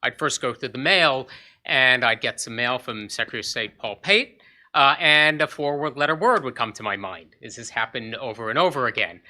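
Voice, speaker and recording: A man's voice: medium pitch, expressive, normal speed, sharp tone. A man from Zambia and Zimbabwe giving a short, earnest inspirational talk at a club meeting podium. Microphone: podium microphone.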